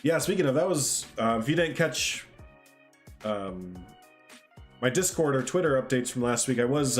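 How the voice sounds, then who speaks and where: A man hosting a casual livestream, stuffed up from a sinus cold, chatting in a friendly, rambling way and reading out chat. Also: medium pitch. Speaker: livestream host.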